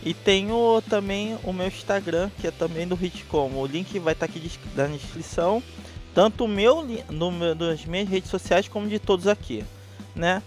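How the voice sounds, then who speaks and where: A man hosts a casual podcast in an upbeat way, passing the word around. His voice is high and faint music plays behind him. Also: moderate pace. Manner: upbeat, handing over turns. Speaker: podcast host.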